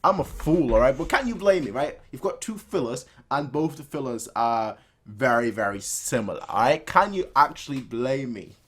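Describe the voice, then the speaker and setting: A man gives casual reaction commentary in a mid-pitched voice. He stammers into his point and repeats short phrases for emphasis. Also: animated, normal speed, a bit bright, slightly rough. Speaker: reaction video host. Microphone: desktop webcam setup.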